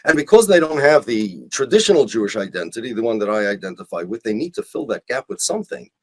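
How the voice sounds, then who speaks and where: Guy in an interview, raspy voice, pitch swings around a lot, really emphatic and argumentative. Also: sing-song, quick pace. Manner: emphatic, rhetorical questions and repetition for stress. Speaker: rabbi, interview guest. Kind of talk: impassioned argumentative interview talk.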